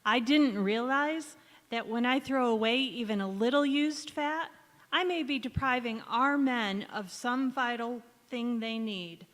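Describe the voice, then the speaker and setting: A woman performs a dutiful, contrite housewife in a 1940s radio spot. Her voice sits fairly high. It echoes through a large hall. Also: unhurried, fairly bright tone. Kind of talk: 1940s radio advertisement acting. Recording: echoey hall, long reverb.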